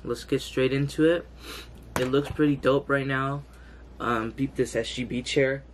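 A man's voice: medium pitch, fairly even intonation, unhurried, slight background noise. A young man with a congested, stuffy nose, giving hyped, slangy gameplay commentary indoors. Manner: hyped, slangy, loud exclamations. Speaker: gaming youtuber. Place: indoor room, green screen. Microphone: headset, webcam framing.

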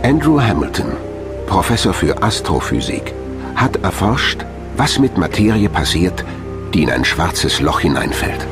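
Male narrator for documentary, low register, lively intonation, scripted delivery. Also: a little slow. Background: music.

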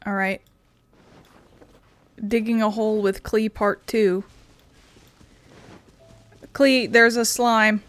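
Medium-pitched woman commenting on a game. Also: steady tone, slow pace, long pauses. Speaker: gaming content creator. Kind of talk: casual game playthrough commentary. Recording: faint game music behind.

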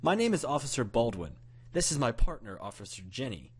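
A man's voice: medium pitch, very animated, brisk, slightly rough. A man voicing a grave police officer in a narrated story, formal and careful.

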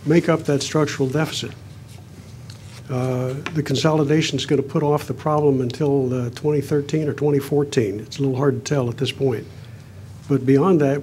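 A councilman speaks from the dais in a plain, measured way, his voice sitting a touch high for a man. A faint hiss of room noise runs under him. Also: darkish tone. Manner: measured, frequent "uh" fillers, plain-spoken. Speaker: city councilman. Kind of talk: council floor remarks. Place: council chamber.

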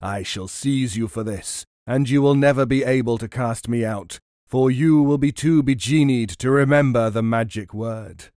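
A man's voice: mid-range voice, moderate pace, darkish tone, dry recording, clean studio sound, lightly acted. A man voicing a scheming, hot-tempered genie in a storybook, with a commanding, theatrical delivery. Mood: furious. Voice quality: roaring when angered.

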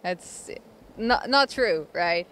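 Woman, 30s, street interview, low, smooth voice, candid, handheld mic outdoors. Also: lively intonation, takes it slowly, fairly bright tone. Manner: candid, laughing, restarts often.

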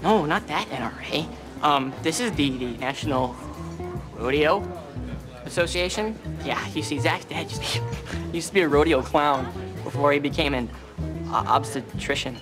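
A man's voice: on the high side, moderate pace, music in the background, natural voice. A young man playing an awkward, goofy teenage boy who stammers and fumbles when nervous. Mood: flustered. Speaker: teen sitcom character. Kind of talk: sitcom acting.

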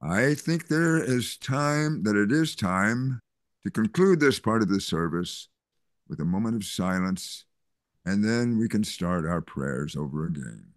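Older man as a kindly reverend, slow, lively pitch, hesitant, dry close sound. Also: no room sound. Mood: gentle. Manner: hesitant, gentle, many fillers. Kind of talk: Zoom play reading. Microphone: video call.

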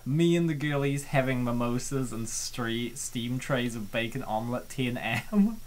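A man hosting a comic dub, giving joking, theatrical and excitable commentary and reading comments aloud. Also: medium pitch, normal speed.

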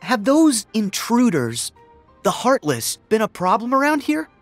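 A man's voice: high-pitched, huge pitch swings, unhurried, no echo, faint music behind, heavily acted. An actor playing an upbeat teenage boy hero in a video game, earnest and youthful. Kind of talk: animated video game dialogue.

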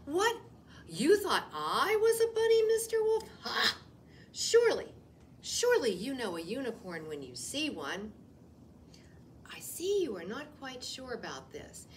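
A woman's voice: high voice, wildly swooping pitch, measured pace, exaggerated voice. A woman voicing a nervous, fibbing little rabbit in a children's picture book, stammering and insisting with flustered emphasis. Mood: flustered bravado, then nervous denial. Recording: distant mic, faint room noise.

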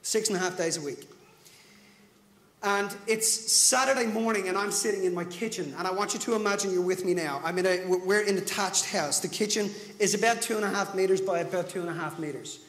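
A man's voice: sits high, brisk. A man in his forties with a Dublin Irish accent, telling a story on stage as a motivational speaker, moving and gesturing as he talks. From Ireland. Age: 40s. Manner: storytelling, animated, gestures widely while pacing. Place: large conference room with audience. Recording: echoey hall with reverb.

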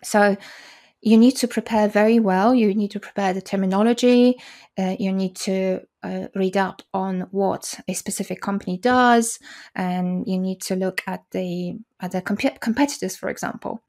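A woman's voice: medium pitch, steady tone, moderate pace, darkish tone. A woman in her forties whose first language is Polish, explaining her work as an interpreter in a video call interview, with frequent restarts and fillers. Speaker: conference interpreter, guest. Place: small room indoors. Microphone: video call, desk mic.

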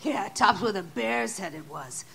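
A woman's voice: mid-range voice, lively intonation, a little slow, gravelly, fairly bright tone, breathy edge, full character voice. A woman playing a saucy, coarse Victorian streetwalker in an audio drama, cheeky and teasing. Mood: brash, gossipy.

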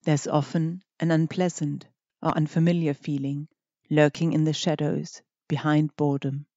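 Soft mellow guided meditation voice, gentle and measured w pauses, bit of a muffled recording. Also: medium pitch, little pitch movement, no echo. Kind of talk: guided meditation, scripted instruction.

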